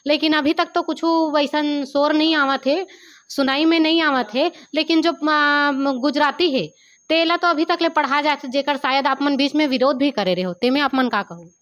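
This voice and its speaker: A woman with a Chhattisgarhi accent interviewing a guest, asking measured, longer framing questions. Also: sits high, fairly bright tone, lo-fi sound.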